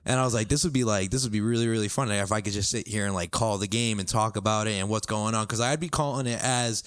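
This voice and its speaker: A young man hosting a podcast, chatting casually and telling a story with bursts of excitement. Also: clean tone, no room sound. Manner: animated storyteller, quick bursts and excited jumps in pitch. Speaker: podcast host and basketball commentator. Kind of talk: casual chat.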